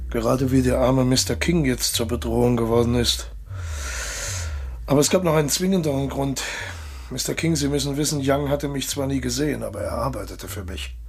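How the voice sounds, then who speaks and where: An actor in a radio drama playing a suave, cold-blooded traitor colonel, courteous and condescending, with a husky, gravelly voice. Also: male voice, medium pitch, steady tone, fairly quick. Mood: smug, explaining.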